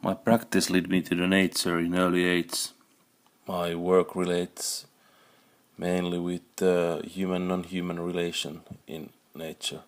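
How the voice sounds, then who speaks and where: Low flat-voiced man. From Finland. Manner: halting, searching for words, non-native phrasing. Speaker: artist and naturalist. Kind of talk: reflective interview monologue.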